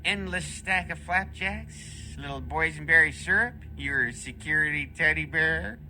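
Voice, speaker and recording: Bright, goofy security guard. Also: male voice, fairly high pitch, a little slow, a little hiss or hum, exaggerated voice. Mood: friendly, flirty. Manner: folksy, bumbling, flirty. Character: goofy, friendly, dim male night security guard. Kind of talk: video game character acting.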